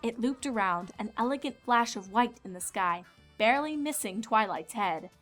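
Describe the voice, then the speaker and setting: A woman's voice: on the high side, animated, moderate pace, a bit bright. A woman reading scripted storybook narration as the narrator. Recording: music behind the voice.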